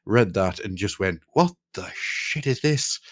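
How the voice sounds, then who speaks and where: A man in his forties with a very low-pitched voice talks casually on a podcast. He rambles through tangents, swears easily and comments wryly on himself. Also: expressive, unhurried. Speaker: podcast host.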